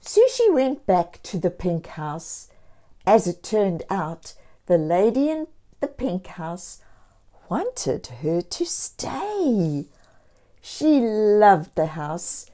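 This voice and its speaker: A woman storyteller reading a picture book aloud to young children, expressively and deliberately, with encouraging asides. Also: mid-range voice, sing-song, warm tone. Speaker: children's storyteller and channel host.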